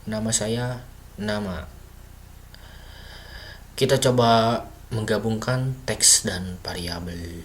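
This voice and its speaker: Slow, halting man teaching coding. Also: lowish voice, slight background noise. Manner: slow, halting, thinking aloud with fillers and self-corrections. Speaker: self-taught coding tutor. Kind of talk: casual screen-recorded coding lesson.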